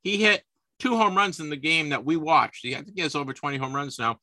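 A man in his fifties chats casually as a sports podcast host, and he often stumbles and repeats himself. His voice sits a little high and sounds bright and forceful. The recording is dry and close. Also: brisk, dry recording. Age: 50s. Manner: chatty, repeats words and restarts often.

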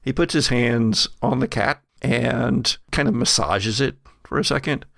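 A man narrating as game master of a gothic horror tabletop game, deliberate and storyteller-like, with pauses. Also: medium pitch, vocal fry, a bit bright, no room sound, clean studio sound.

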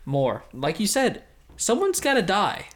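A man's voice: sits high, a little slow. A man co-hosting a casual anime recap show, retelling scenes with energy and joking asides. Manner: animated recapping, puts on mock villain voices. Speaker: podcast co-host.

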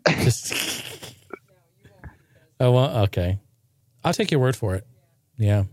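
Excitable laughing man, dry sound. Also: mid-range voice, slow pace, no room sound. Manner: excitable, laughs often, says dude a lot. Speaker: musician hosting a chat. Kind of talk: casual chat.

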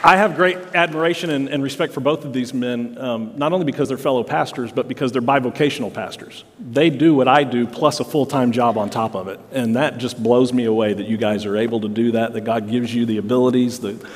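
A man's voice: medium pitch, a little fast, few pauses, a faint crowd. A man, the host pastor, leads an on-stage interview, affirming his guests with frequent amens. His voice sits mid-range. The sound carries a long hall reverb with a faint congregation behind. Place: large church sanctuary.

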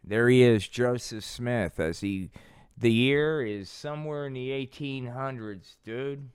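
A man's voice: medium pitch, a little slow, a little mellow, clear, resonant tone. A man hosting a podcast with loose comic storytelling, laid-back, slangy and rambling. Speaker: podcast host.

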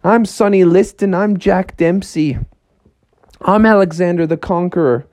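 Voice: medium pitch, a little slow, darkish tone, dry recording, studio quality, pitched above the performer's own voice, close to an everyday voice. A storyteller voicing a boastful male frog who calls himself a fearsome wrestling champion, in a bedtime story told to a child. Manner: swaggering, bragging.

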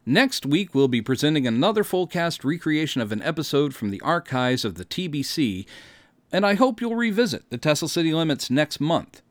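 A middle-aged American man narrates a scripted podcast with a wry, theatrical touch. His pitch swings widely as he tells his story, and the sound is dry and close, as on a studio microphone. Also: no echo. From Texas, United States. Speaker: podcast host and director. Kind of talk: scripted first-person narration.

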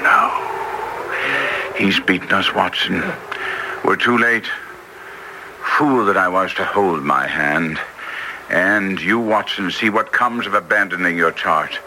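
A man playing a brisk, masterful English detective in classic radio drama style, theatrical and clipped, with an English accent. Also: low-pitched, bright, forceful tone, exaggerated voice. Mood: bitter, agitated. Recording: noisy vintage radio recording.

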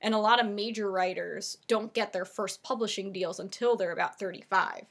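A woman's voice: mid-range voice, moderate pace, vocal fry, noisy background. A woman in her thirties co-hosting a casual podcast, rambling with lots of "like" and "um". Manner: rambling, filler-heavy, lots of "like". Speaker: podcast co-host.

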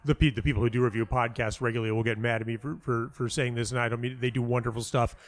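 A man talking casually as an interview guest, telling anecdotes in an animated, emphatic way and often repeating himself for stress. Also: medium pitch, quick pace. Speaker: interview guest, playwright and audio dramatist.

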